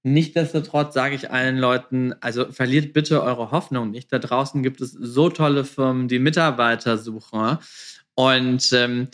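Male podcast host. Mid-pitched voice, casual and colloquial, talks straight to listeners, uses English business slang. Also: steady tone, moderate pace, fairly bright tone. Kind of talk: casual interview chat.

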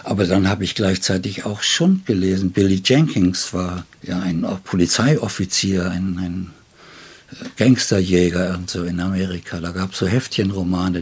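Older male writer, northern German origin, low husky voice, pensive and halting interview delivery. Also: darkish tone. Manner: thoughtful, halting, tag questions. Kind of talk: reflective literary interview.

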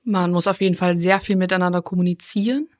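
A woman's voice: on the low side, fairly even intonation, quick pace. A woman from a hospital nursing team answering interview questions in a casual, plain-spoken way. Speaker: nurse team member.

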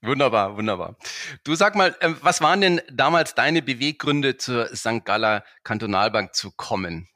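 A man's voice: medium pitch, expressive, normal speed, pushed and bright, dry recording, studio quality. A man hosting a bank podcast, friendly and enthusiastic, with many filler sounds as he talks to a colleague. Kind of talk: podcast conversation.

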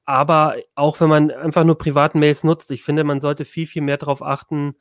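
A southern German man hosting a casual tech podcast, his voice sitting a little high and recorded close and dry in a studio. He talks loosely, with many fillers, restarts and pauses. He moderates the round without hurry. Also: flat delivery, no room sound. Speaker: podcast host.

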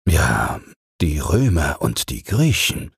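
A man voicing a friendly old man who tells fairy tales, with a kindly, musing, unhurried manner. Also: very deep voice, sing-song, gravelly, slightly rough, dry recording, studio quality, exaggerated voice.

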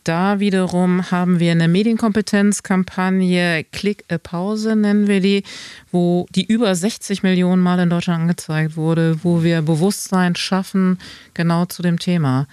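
A woman guest in an interview podcast, explaining her company's tools in a steady, explanatory way with frequent fillers. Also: on the low side, moderate pace, smooth, no echo. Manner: explanatory, fills with "also" and "genau".

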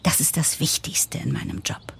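An actress playing a wry, hopeful newcomer, confiding in the listener in a scripted first-person radio play narration with dramatic pauses and whispery asides. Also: female voice, a bit bright, lightly acted. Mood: confiding, suspenseful.

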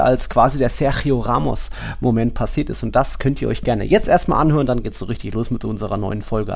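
A man's voice: mid-range voice, creaky, darkish tone. A man hosting a football podcast in a casual, conversational style. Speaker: podcast host.

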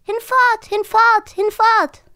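A woman voicing a cute little ghost in a theatrical, drawn-out manner, as if calling out. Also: very high-pitched, little pitch movement, pushed and bright, dry recording, studio quality, pitched above the performer's own voice, full character voice. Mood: eerie, playful.

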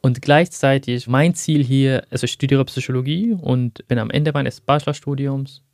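Soft-spoken young man. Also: mid-range voice, vocal fry, no room sound, studio quality. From Syria. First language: Arabic. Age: young adult. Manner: soft-spoken, reflective, halting. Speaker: guest. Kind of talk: personal interview.

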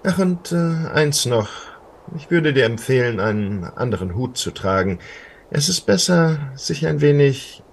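A man's voice: medium pitch, expressive, measured pace, creaky, a little hiss or hum. A man playing a grumpy, self-important, cowardly border guard in a German fairy-tale audio play, speaking in a hesitant, officious way.

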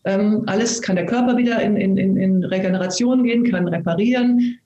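A woman in her fifties from eastern Germany talks through ideas in a slow, earnest way, often restarting her sentences. Her voice is dark and mellow, at an ordinary pitch for a woman. The room around her adds a noticeable echo. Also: highs cut off. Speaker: actress and talk host. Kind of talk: reflective conversation. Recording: roomy echo.

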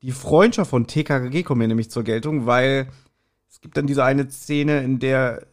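A man's voice: mid-range voice, normal speed. A man co-hosting a podcast, explaining his views in a colloquial, casual review chat. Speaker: podcast co-host. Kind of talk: casual review chat about audio dramas.

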